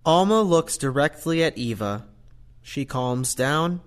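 A man's voice: on the high side, lively intonation, takes it slowly. A man narrating an audio play, reading scripted stage directions plainly.

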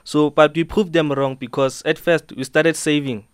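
A man's voice: on the high side, fairly even intonation, moderate pace, clear, resonant tone, no echo, studio quality. Polite teenage man, resonant. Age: teens. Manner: polite, earnest, says "ma'am" often. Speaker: dancer and fashion subculture member. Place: radio studio.

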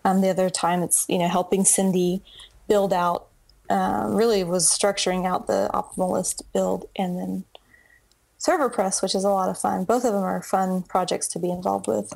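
A woman's voice: mid-range voice, moderate pace, creaky. A middle-aged woman, a web entrepreneur guest, chatting casually in an interview and acting out her own past thoughts as she talks. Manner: chatty, animated storytelling with acted quotes.

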